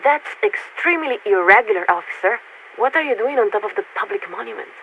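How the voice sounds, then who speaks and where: A poised warship radio officer from a video game, polite and bureaucratic to the core. The delivery is measured and formal, coming through a thin, band-limited transmission with a light layer of noise. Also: female voice, a little high, animated, a bit bright, highs cut off. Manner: formal, measured, courteous, speaks as "we". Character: poised female military signaler aboard a coalition warship, politely bureaucratic. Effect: radio transmission filter. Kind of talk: scripted video game character dialogue.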